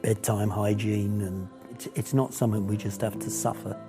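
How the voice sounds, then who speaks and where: Low, flat-voiced man over music. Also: moderate pace. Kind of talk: interview testimony.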